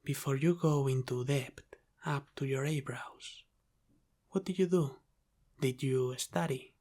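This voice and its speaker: A man playing a teasing, menacing mafia boss with an Italian-American accent in an ASMR roleplay, speaking deliberately and sometimes dropping into a whisper. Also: mid-range voice, mellow, close to an everyday voice. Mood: teasing, probing. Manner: slow, deliberate, teasing, with breathy sighs and whispered phrases.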